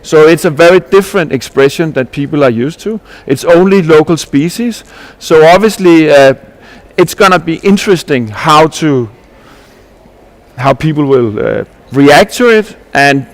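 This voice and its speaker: A man's voice: fairly high pitch, expressive, moderate pace. Man presenting at a forum. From Denmark. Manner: earnest, explanatory, with frequent restarts and rhetorical questions. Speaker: landscape architect presenting at a panel. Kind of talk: conference presentation.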